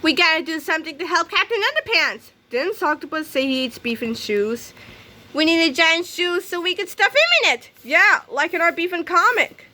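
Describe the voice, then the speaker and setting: A woman voicing an excitable, mischievous schoolboy from a comic story, exclaiming urgently. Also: very high-pitched, moderate pace, pitched above the performer's own voice, full character voice.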